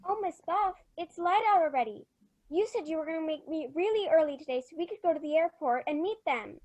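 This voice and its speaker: A woman playing an anxious, high-voiced little girl. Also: animated, brisk, darkish tone. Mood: eager, impatient. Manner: plaintive, insistent. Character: eager, anxious little girl missing her parents. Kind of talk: old-time radio drama acting.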